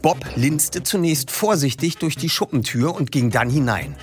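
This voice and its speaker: Lively male narrator reading aloud. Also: fairly high pitch, moderate pace. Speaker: host and reader. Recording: faint music behind. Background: faint music.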